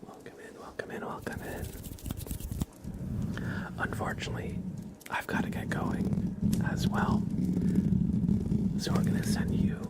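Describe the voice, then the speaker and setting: A man hosting an ASMR livestream in a soft, hushed whisper and low murmur, gently and unhurriedly talking to his viewers. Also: measured pace, pauses often. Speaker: livestream host.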